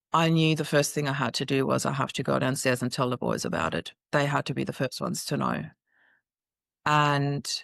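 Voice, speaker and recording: Gravelly woman, dry sound. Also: extremely low pitch, no echo. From Austria. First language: German. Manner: earnest, recounting in vivid detail. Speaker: guest. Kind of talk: personal storytelling in an interview.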